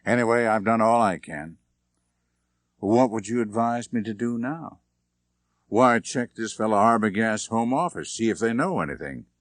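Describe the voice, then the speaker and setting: A big small-town sheriff in an audiobook, played by a male narrator. He speaks in a gruff, brusque, emphatic way, pushed up and loud, with a dry, close sound. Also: on the low side, expressive, normal speed, no echo, pitched above the performer's own voice, lightly acted. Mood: brusque, dismissive.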